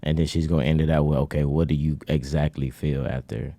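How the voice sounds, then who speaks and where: A man in his thirties with an extremely deep, mellow voice holds forth in casual podcast chat. He talks in long, earnest, winding runs. The sound is dry and close to the mic. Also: level tone, fast talker, gravelly, no room sound. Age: 30s. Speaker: podcast co-host.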